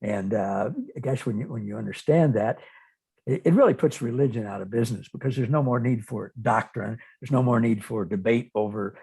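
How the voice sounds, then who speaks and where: A man interviewing in a reflective, conversational style, speaking haltingly and thoughtfully and often repeating words. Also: medium pitch, vocal fry, a little mellow, slightly rough.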